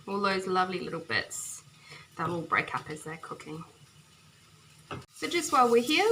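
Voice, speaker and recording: A woman's voice: medium pitch, sharp tone. A woman from New Zealand hosting a home cooking video, chatting cheerfully as she chops and stirs in her kitchen. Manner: cheerful and chatty, often laughing, talks while chopping and stirring. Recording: noisy home kitchen with frying sizzle. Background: food sizzling and kitchen clicks.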